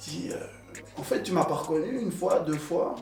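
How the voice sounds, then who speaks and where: A young man who grew up in Belgium, telling his own experiences in a relaxed group talk with many fillers and pauses. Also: high-pitched, gravelly. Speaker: panel guest. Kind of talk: casual conversation. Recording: echoey room, distant mic, soft music.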